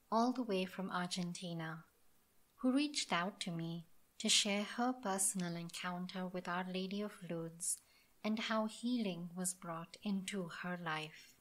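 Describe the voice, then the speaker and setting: A woman hosting a devotional podcast, talking in an earnest, reflective way with frequent fillers and restarts. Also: on the low side. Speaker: podcast host.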